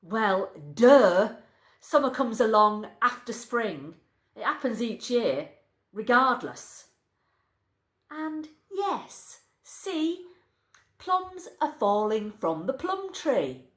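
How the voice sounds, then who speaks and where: A woman giving an expressive, wry reading of a short story, with deliberate pauses between short phrases in an echoing space. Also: fairly high pitch. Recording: roomy, echoing sound.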